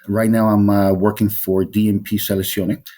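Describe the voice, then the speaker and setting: A man's voice: lowish voice, flat delivery, moderate pace, mellow. A middle-aged man from Colombia, a wine specialist being interviewed, talking in a rambling way with many fillers and restarts. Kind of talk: interview conversation.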